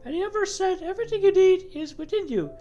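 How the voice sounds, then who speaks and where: A woman's voice: extremely high pitch, expressive, fast talker, mellow, highs cut off, soft background music, pitched above the performer's own voice. A woman voicing a fervent, dreamy godlike being in a dramatic reading of game dialogue, with emphatic delivery. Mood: tender, emphatic.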